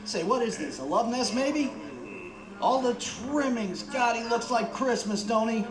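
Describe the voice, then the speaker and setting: Man playing a high-voiced brash tabloid reporter. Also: expressive, measured pace. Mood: mocking. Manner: flippant, slangy. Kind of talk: 1930s-style radio theater acting. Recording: echoey room, crowd behind.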